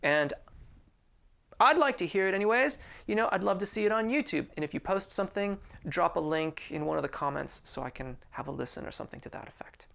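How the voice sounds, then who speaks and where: A man's voice: high-pitched, animated, a little fast, creaky, bright, forceful tone. A man who teaches singing, answering viewer questions in a casual, friendly way and often restarting his sentences. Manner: chatty and informal, with frequent restarts.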